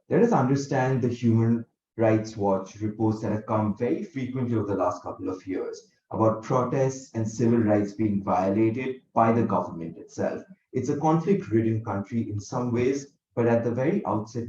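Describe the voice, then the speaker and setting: A man's voice: fairly low pitch, dark tone. A young male student giving an earnest, formal presentation over a shared microphone on a video call. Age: young adult. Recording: muffled, roomy, distant from shared mic.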